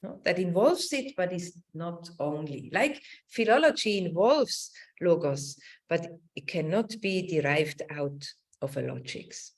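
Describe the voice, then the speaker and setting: Searching, animated woman lecturer. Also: lowish voice. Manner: halting, searching for words, often adding a questioning "no?". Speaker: academic lecturer. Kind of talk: semi-improvised academic talk. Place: indoor room, online presentation.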